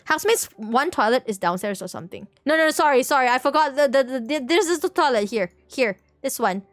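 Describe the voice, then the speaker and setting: Woman livestream host, higher-pitched voice, casual and rambling with playful self-corrections, dry close sound over faint music. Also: animated, brisk, dry recording. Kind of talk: casual livestream chat, explaining a sketch to viewers.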